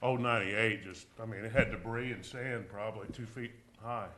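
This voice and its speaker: Deep-voiced man chairing a public meeting. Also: fairly even intonation, moderate pace, pushed and bright. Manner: plain-spoken, folksy, reminiscing. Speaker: committee chair. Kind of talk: public meeting, casual chat.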